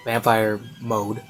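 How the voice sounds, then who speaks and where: A man's voice: mid-range voice, a bit bright. A man giving a casual, unscripted review in a rambling, hesitant way with lots of fillers. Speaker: online reviewer. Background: classical music.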